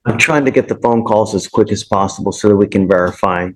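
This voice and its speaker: A man with a lowish, somewhat husky voice speaks as a judge from the bench. He questions in plain, informal language and often restates his words. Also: fairly quick. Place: indoor courtroom. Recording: courtroom audio.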